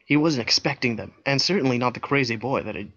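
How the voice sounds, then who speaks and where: A man reading a fan fiction aloud as a comedy bit, narrating in a deadpan way with dry asides. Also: mid-range voice, quick pace, lo-fi sound.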